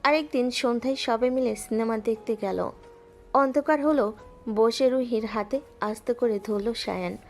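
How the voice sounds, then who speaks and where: Female narrator, slightly high, calm even read, soft music under. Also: moderate pace. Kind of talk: scripted story narration.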